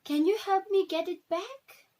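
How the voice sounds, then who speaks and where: A woman's voice: extremely high pitch, unhurried, warm tone, pitched above the performer's own voice. A woman reading a storybook aloud, playing a pleading little village girl.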